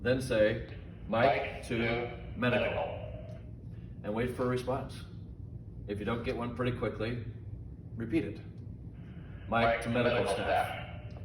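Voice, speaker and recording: A man giving informal safety training instructions in a deliberate, simple, step-by-step manner. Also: medium pitch, flat delivery. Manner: slow, deliberate, simple phrasing. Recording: echoey, distant mic, noisy room.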